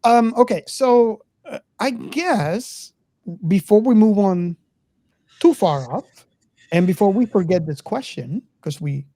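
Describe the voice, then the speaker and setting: A woman hosting a casual film discussion, speaking haltingly and repeating words as she goes. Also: medium pitch, animated, mellow, dry recording. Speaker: podcast host.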